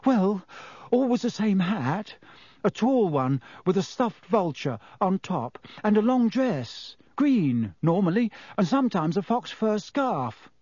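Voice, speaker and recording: Man playing a timid teenage schoolboy, hesitant, nervous, raised pitch, dry recording. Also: very animated, slow pace, mellow, slightly rough, no echo, exaggerated voice.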